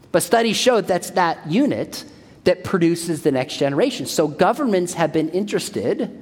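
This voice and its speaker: A man in his forties giving an emphatic church talk, repeating his key points. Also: a little high. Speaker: speaker at a church. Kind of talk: sermon-style talk. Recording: long hall reverb.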